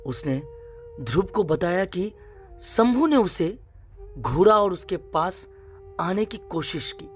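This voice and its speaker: A woman narrating a scripted suspense story in a dramatic, measured way, in short phrases. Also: lowish voice, expressive, faint music behind.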